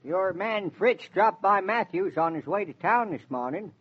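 An excitable old in a radio drama. His voice is high and husky, leaping up and down with rustic, folksy pride. The old recording is dull. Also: normal speed. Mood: folksy, amused. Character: excitable, proud old rural farmer, cow enthusiast. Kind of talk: 1940s radio acting. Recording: muffled 1940s radio recording.

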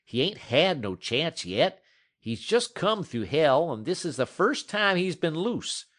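A man voicing a blunt, rough frontier dog musher who talks in a folksy drawl and drops his g's. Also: on the high side, big pitch swings, a little slow, fairly bright tone, voice pushed up. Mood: pleading.

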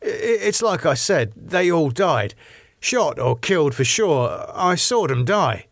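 A man's voice: a little high, very animated, slow pace, vocal fry, dry recording, clean studio sound, pitched above the performer's own voice, full character voice. A man reading an audiobook, voicing an agitated, defiant man who sounds haunted and bitterly sarcastic. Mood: shaken, apologetic. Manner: stammering, sweary, snapping outbursts. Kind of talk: audiobook character dialogue.

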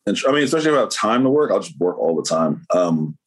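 A man's voice: deep voice, big pitch swings, fast, husky. A man, a painter, talking casually as an interview guest, rambling with lots of fillers and restarts.

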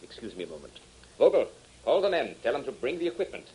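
A man's voice: mid-range voice, sing-song, quick pace, mellow, husky, low-quality recording. An actor playing a formal, commanding foreign villain in a 1940s radio adventure, menacing behind his courtesy. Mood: eager, commanding. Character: smooth, menacing foreign villain, a male pilot and rancher. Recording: old 1940s radio transcription.

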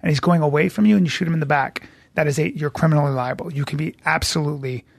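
A man's voice: a little high, fast talker, gravelly, dry recording. A man co-hosting a podcast in casual chat, talking in an emphatic, lecturing, jokey way. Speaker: podcast co-host.

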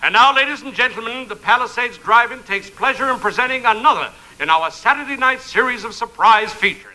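High-voiced formal theater announcer. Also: male voice, a little fast, sharp tone, exaggerated voice. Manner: formal, proclaiming. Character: formal male drive-in theater announcer over a speaker. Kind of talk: 1950s radio announcing parody. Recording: noisy old radio recording.

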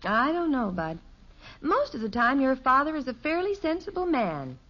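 A muffled vintage radio recording of a suburban mother. She is gently placating and wryly long-suffering, her lightly high voice moving expressively. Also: sing-song, normal speed, full character voice. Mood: wry, gentle. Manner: gently placating, sighing, wry. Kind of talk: 1950s radio sitcom acting. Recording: dull 1950s radio broadcast recording.

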